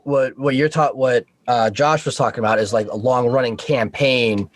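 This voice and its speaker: A man's voice: mid-range voice, fairly even intonation, normal speed, no room sound. A man chatting casually as a podcast guest over a video call, explaining things in an informal, geeky way.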